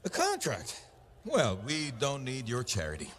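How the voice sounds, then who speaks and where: A man playing a gruff, resentful adventurer in a video game, sardonic and blunt. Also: mid-range voice, wildly swooping pitch, measured pace, a bit bright, a little hiss or hum. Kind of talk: video game cutscene acting.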